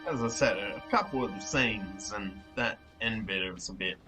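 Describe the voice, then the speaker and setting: A man's voice: fairly low pitch, moderate pace, music in the background. A young man giving a casual episode review as a reaction host, thinking aloud with some hesitation. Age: young adult. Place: small living room, indoors.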